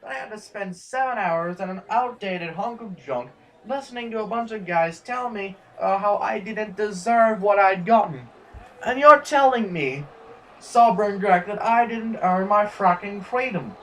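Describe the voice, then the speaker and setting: A defiant young-rebel type of protester , played by a man, calls out from away from the mic over some noise. The male voice is high, indignant and confrontational, in science-fiction radio-play style. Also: a little slow, fairly bright tone. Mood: angry, challenging. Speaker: voice actor in an audio drama. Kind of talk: scripted sci-fi audio drama acting. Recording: distant from the mic, background noise.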